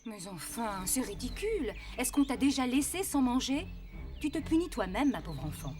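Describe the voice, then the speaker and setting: A woman's voice: fairly high pitch, sing-song, moderate pace, far from the mic. A woman playing an elegant, strict but caring cartoon mother, speaking in a proper, articulate, chiding manner. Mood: exasperated scolding. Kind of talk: French animated series dialogue.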